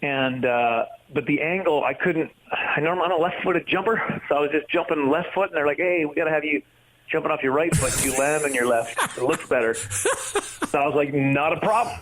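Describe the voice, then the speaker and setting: A man's voice: fairly high pitch, moderate pace, fairly bright tone, slight background noise, rough recording. A man chatting casually as an interview guest, joking at his own expense and acting out his anecdotes. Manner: self-deprecating, joking, acts out his stories. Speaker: comedian and actor, interview guest. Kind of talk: animated anecdote-telling in casual radio interview.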